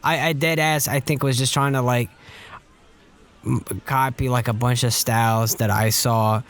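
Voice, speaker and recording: A young man with a mid-pitched voice, chatting on a podcast. He tells his stories in a loose, slangy way and pokes fun at himself. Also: moderate pace. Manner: relaxed, self-mocking storytelling with slang. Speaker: podcast co-host. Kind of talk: casual chat.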